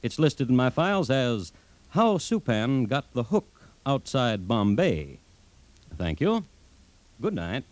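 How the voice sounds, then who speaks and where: An actor playing a droll, solemn crime-history storyteller, narrating a 1950s radio drama in deliberate, dry, clipped phrases. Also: male voice, mid-range voice, big pitch swings, darkish tone, no echo. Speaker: host-narrator.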